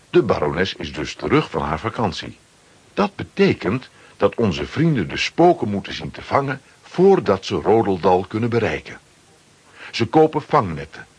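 A man's voice: on the low side, breathy edge, no echo. A man narrating a children's adventure story from a script, with dramatic delivery.

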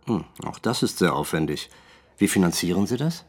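A senior detective in a radio drama interrogation. His voice is low and swings freely in pitch, as he probes with dry, formal courtesy. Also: expressive, normal speed, slightly rough. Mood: probing, polite. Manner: probing, formally polite, dry. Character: senior police detective, probing, dryly sarcastic interrogator. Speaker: police detective. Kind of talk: radio play dialogue.

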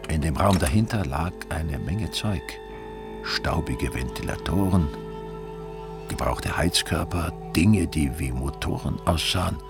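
A man playing the dry, wry narrator of a radio drama, telling a crime story in a measured, deadpan way. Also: extremely low pitch, creaky, over music, full character voice. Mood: matter-of-fact.

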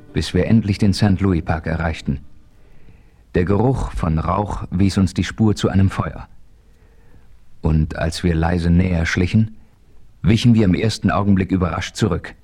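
Deep-voiced radio play narrator, man. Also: breathy edge. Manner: calm, measured storytelling. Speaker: narrator and hero.

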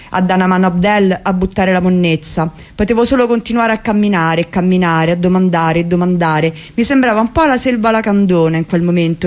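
A woman's voice: fairly low pitch, a little mellow. A woman giving an impassioned, declamatory reading of a book for the radio. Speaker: reader. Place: radio studio.

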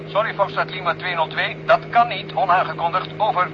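Casting: curt radio official, quick, clipped, insistent, dull noisy transmission, old radio play. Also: male voice, high-pitched, steady tone. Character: curt, insistent male radio voice giving orders to pilots. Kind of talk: 1970 Dutch radio drama acting.

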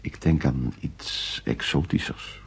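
An actor playing a weary, evasive husband in a radio play, speaking hesitantly and haltingly. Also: male voice, very deep voice, creaky, a little hiss or hum, full character voice. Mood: eager. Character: evasive husband, weary home-loving office worker. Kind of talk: naturalistic radio play acting.

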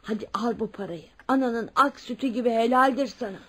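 A woman's voice: on the high side, brisk, bright, forceful tone, breathy edge. An actress playing a kindly, insistent older well-off lady in a radio drama, speaking. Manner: motherly, addresses others as her children. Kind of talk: Turkish radio drama acting.

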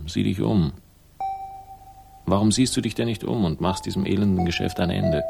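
An actor playing a wry, world-weary detective, narrating a radio crime drama in a dry, sardonic manner. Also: male voice, sits low, vocal fry.

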